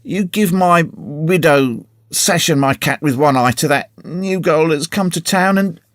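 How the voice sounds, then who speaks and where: A man's voice: sits high, lively intonation, unhurried, fairly bright tone, no room sound, studio quality, voice pushed up. Man doing a melodramatic groaning boy.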